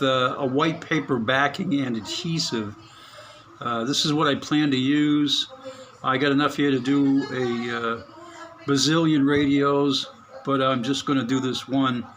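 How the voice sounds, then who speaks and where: Relaxed man narrating a radio repair. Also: mid-range voice, slight background noise. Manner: halting, many fillers, chuckles to himself. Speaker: radio hobbyist vlogger. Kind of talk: casual hands-on narration. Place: small spare room at home.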